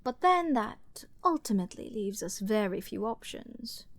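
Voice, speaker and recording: Woman acting a slow-talking arrogant scheming princess. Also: medium pitch, sing-song. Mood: imperious, scheming. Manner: haughty, theatrical, slow and teasing. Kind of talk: dramatic fantasy audio roleplay with ASMR whispering.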